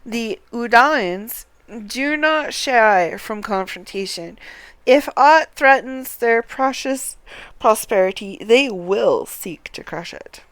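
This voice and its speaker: A woman streams a game, reading its dialogue aloud in a smooth, fairly high voice. She goes slowly and a little haltingly, recorded close and dry. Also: lively intonation, fairly bright tone, dry recording, rough recording. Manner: deliberate, halting when reading.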